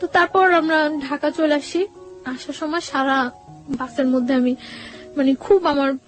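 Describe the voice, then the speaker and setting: A young woman with a Bangladeshi accent tells her own life story in an interview, haltingly, often correcting and repeating herself. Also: high voice, steady tone. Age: young adult. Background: background music.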